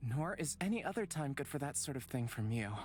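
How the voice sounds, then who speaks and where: A woman's voice: a bass voice, expressive, brisk, darkish tone, faint music behind. A woman voicing a stern, sensible young woman in a visual novel, firm, dry and blunt.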